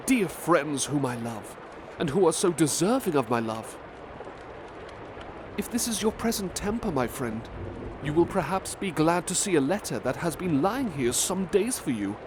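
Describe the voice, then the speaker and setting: A man's voice: on the high side, sing-song, unhurried, background noise, pitched above the performer's own voice. Emotional, grateful young invalid, read by a man with a lifted voice. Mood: fervent, grateful.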